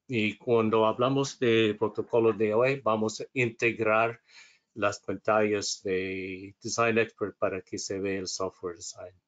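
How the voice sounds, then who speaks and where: Low-voiced man, hesitant. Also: dull audio. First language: English. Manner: hesitant, self-correcting, reading from notes. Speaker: instructor. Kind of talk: webinar lecture.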